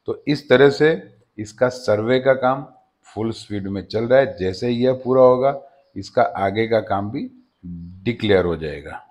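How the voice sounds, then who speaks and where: Man explaining in slightly dull audio. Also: fairly low pitch. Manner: steady explanatory delivery with frequent "friends" address. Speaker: channel host. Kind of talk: informational voice-over explainer. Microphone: voice-over over slides.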